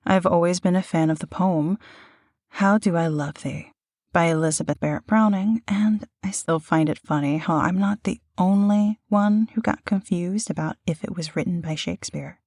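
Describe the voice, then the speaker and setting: A woman with a lowish voice co-hosts an audio series in scripted banter. Her manner is teasing yet reassuring, and the recording is dry and intimate, with no room around her. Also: moderate pace, a little mellow, no echo. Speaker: voice actor co-hosting an audio series.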